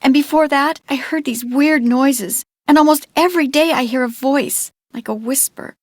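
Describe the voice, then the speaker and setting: A woman's voice: sits high, moderate pace, dry recording, clean studio sound, close to an everyday voice. A woman playing an anxious, grieving teenage girl in an adventure game, speaking in an earnest, hesitant way. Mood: guarded, downcast. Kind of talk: scripted adventure game dialogue.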